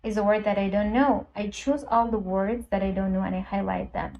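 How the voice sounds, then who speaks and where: A woman's voice: mid-range voice, steady tone, brisk, dark tone, dull audio, a little hiss or hum. A woman whose first language is Persian, a language teacher casually walking viewers through an app step by step with many ums and uhs. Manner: chatty, explains step by step with frequent ums and uhs. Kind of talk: casual screen-recorded app walkthrough.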